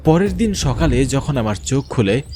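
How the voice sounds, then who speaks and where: A man's voice: mid-range voice, big pitch swings, normal speed, mellow, a little hiss or hum. A man narrating a scripted first-person horror story in a grave, dramatic way.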